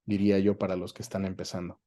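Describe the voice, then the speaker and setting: A man's voice: deep voice, completely flat delivery, quick pace, mellow. A man with a Mexican accent in a conversational interview as the guest, explaining things colloquially with examples and frequent tag questions. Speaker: interview guest, dubbing director.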